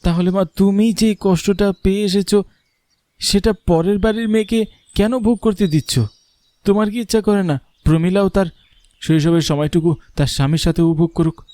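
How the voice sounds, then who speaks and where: Man acting a mellow, caring young husband. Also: sits high, fairly even intonation, normal speed, no echo, voice pushed up, natural voice. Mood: persuasive, gentle. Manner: coaxing, reasoning. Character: caring young husband and persuasive, gentle, reasoning son. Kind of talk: animated story character acting.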